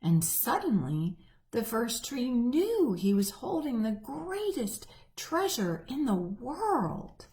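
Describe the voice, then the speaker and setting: A woman reading a picture book aloud to children, gently and expressively. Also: a little high, sing-song, takes it slowly. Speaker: church children's storyteller.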